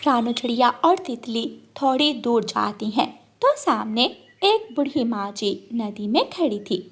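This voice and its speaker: A woman's voice: a little high, lively intonation, measured pace, slightly rough. A woman narrating a scripted children's story.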